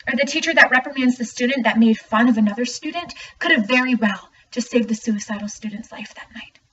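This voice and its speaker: A young woman acts out an earnest, emphatic student in a dramatic monologue, in a fairly high voice. The recording is a little muffled and distant. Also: fairly quick, a bit bright, close to an everyday voice. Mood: earnest, hopeful. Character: earnest student speaking out about teachers. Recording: muffled, distant mic, faint noise.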